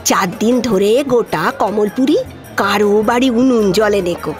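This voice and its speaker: A woman playing a proud, sharp-tongued older maidservant in a Bengali audio drama, scolding in a colloquial rural West Bengal accent. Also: mid-range voice, unhurried, soft background music, lightly acted. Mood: scornful, boastful.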